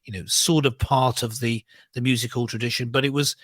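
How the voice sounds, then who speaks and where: British man, husky and hesitant. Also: medium pitch, steady tone, a little fast, a bit bright, dry recording, studio quality. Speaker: co-host of a talk show, clergyman. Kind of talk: conversational opinion discussion.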